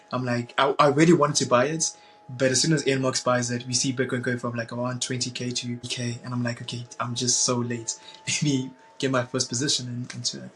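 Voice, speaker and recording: Young male guest from Zimbabwe, flat monotone delivery, stop-start phrasing, faint hiss behind. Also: mid-range voice, poor audio. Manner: halting, repeats words and restarts often. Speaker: guest, bitcoin community founder. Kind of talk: casual interview.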